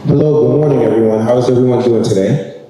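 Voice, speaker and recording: A man with a New York accent giving a relaxed, conversational speech at a graduation ceremony and addressing the audience directly. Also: mid-range voice, quick pace, background noise. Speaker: guest speaker, recruiter. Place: large ceremony hall. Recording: echoey hall, distant mic.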